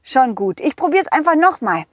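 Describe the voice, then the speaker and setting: A woman's voice: sits high, big pitch swings, normal speed, no echo. A woman voicing a confident, loyal dog in a children's audio play, encouraging and reassuring, always offering a solution. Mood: patient, encouraging. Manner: encouraging, reassuring problem-solver.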